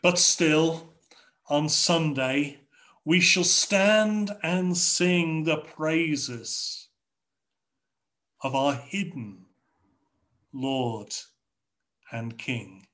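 A middle-aged man with an English accent preaching a sermon from a home study over a video call, in a measured, rhetorical way with repeated phrases. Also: fairly high pitch, a bit bright. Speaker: pastor. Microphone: video call webcam.

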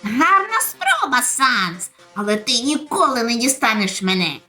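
Woman voicing a high, bright, excitable little skeleton boy. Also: a little slow, soft background music, full character voice. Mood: playful boasting. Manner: excitable, childlike. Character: cheerful boastful little skeleton boy, the younger brother. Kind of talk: comic dub, cartoon voice acting.

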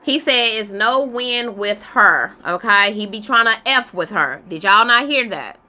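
A woman's voice: medium pitch, animated, unhurried, pushed and bright, slight background noise. A woman giving casual reaction commentary on gossip, sassy and exclamatory, talking straight to her listeners.

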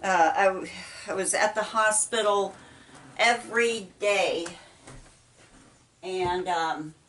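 A middle-aged woman with a Midwestern American accent, chatting casually while she folds laundry, talking haltingly with many ums and restarts. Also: medium pitch, fairly bright tone, slight background noise. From United States. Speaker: vlogger. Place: small indoor laundry room. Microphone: camera set apart from her. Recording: voice a bit far from mic.

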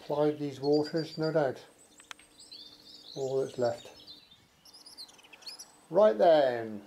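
A man talks his way along a walk, chatty and admiring, slipping in wry little asides. His voice sits a touch higher than usual. Faint outdoor noise and birdsong murmur behind him. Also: extremely slow, vocal fry, dark tone. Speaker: walking vlogger narrating. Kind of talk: casual walking commentary. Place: outdoors by a rural estuary and canal.

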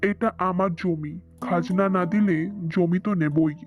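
A man's voice: high voice, a little slow. A man voicing a callous, haughty village landlord in a cartoon, speaking with scorn. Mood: domineering. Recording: dull lo-fi sound with faint music.

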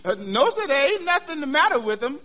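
Brief: mock-polite shoeshine man, very high swooping voice, folksy drawl, sly punchlines, vintage radio comedy. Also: sing-song, fairly quick, pushed and bright, heavily acted. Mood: sly, mock-innocent. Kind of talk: 1940s radio comedy acting. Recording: noisy 1940s radio recording, studio audience.

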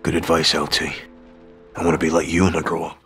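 An actor playing an irreverent special forces sergeant in a video game, with a Scottish accent and a blunt, sardonic manner. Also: male voice, a bass voice, monotone, quick pace, fairly bright tone, soft background music. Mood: wary. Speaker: soldier character.

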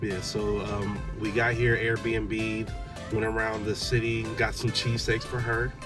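A man in his thirties chats in a relaxed, rambling way from the seat of a parked car. His voice sits a little low. Music plays clearly behind him on the dashboard camera recording. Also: measured pace. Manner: relaxed, chatty, many "um"s. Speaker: vlogger, father. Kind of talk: casual vlog chat.

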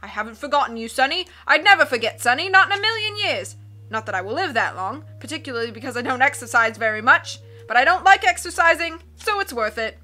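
A woman voicing a loud, hasty male submarine captain who cries out his lines and rambles, reading a storybook aloud. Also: high-pitched, very animated, fast talker, full character voice. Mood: hearty bluster. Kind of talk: read-aloud storybook acting.

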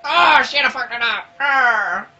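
A man's voice: very high voice, unhurried, bright, forceful tone, muffled recording, faint music behind. A man giving casual, profane, joking commentary while playing a video game. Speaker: let's play commentator.

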